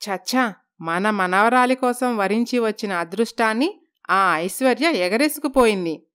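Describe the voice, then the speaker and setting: Woman as an envious old grandmother, clear voice. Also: on the high side, expressive, measured pace, a bit bright. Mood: bitter. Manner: complaining. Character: resentful, envious old grandmother complaining about luck.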